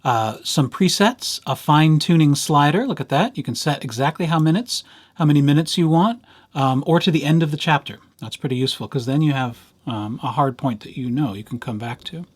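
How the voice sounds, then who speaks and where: A man presenting a casual software tutorial as a host, with playful, dryly humorous asides. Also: on the high side, brisk, husky.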